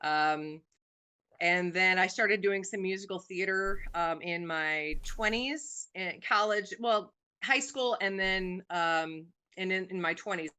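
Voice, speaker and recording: Bright clear woman in her 40s, chatty podcast guest, lots of ums. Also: fairly low pitch, slow pace. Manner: chatty, warm about her music, many "um"s. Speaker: guest, singer and actress. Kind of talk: casual podcast chat.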